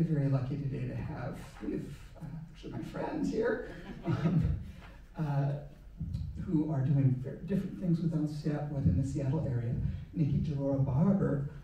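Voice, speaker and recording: A man's voice: a little high, far from the mic, slight background noise. A man moderating an art panel on a museum stage, speaking casually with many ums into a handheld mic. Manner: relaxed, many ums and uhs. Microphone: handheld mic, far camera. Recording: echoey hall, heard from afar.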